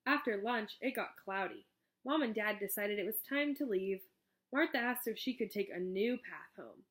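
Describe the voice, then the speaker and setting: A woman narrating a children's storybook aloud in an expressive, scripted read-aloud style. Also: mid-range voice, moderate pace. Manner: expressive storybook reading with character dialogue.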